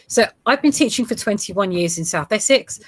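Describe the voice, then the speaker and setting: A woman in her forties, a geography teacher with a Gujarati heritage, explaining ideas to other teachers in a steady way with small fillers. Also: medium pitch, normal speed, no echo. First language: Gujarati heritage, English now first. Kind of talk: presentation to teachers.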